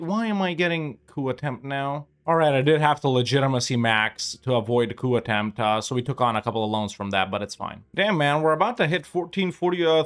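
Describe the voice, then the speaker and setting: A young man giving casual gameplay commentary, relaxed and chatty, thinking aloud with many fillers. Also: fairly high pitch. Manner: lively and upbeat when asking viewers to like and subscribe. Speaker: gaming YouTuber.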